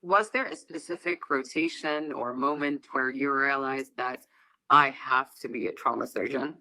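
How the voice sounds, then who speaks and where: A young woman, a medical student, moderating a podcast interview with earnest, slightly halting questions. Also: a bass voice, bright, forceful tone, no room sound, poor audio. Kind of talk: scripted intro and interview questions.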